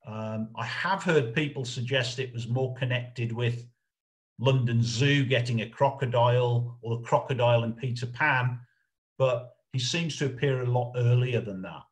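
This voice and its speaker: A middle-aged man giving an informal illustrated history lecture over a video call, explaining in a halting, hesitant way. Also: mid-range voice. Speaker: lecturer, researcher presenting a talk.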